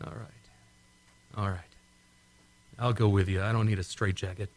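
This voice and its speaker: An amateur actor playing a frantic young test pilot in a radio play, urgent and pleading. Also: male voice, lowish voice, level tone, a little slow, natural voice. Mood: subdued.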